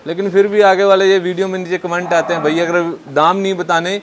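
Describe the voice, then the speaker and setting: Male farmer-dealer. High voice, agreeable, casual answers over some farm noise. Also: little pitch movement, fast talker. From northern India. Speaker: dairy farmer and cattle dealer, interviewee. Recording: clear background noise, farm ambience.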